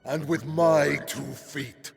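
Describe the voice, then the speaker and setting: An actor voicing a solemn, majestic reborn god in a video game, proclaiming grandly in a deep, creaky voice. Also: male voice, fairly even intonation, slow, faint music behind, full character voice. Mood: solemn, triumphant. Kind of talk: video game cutscene acting.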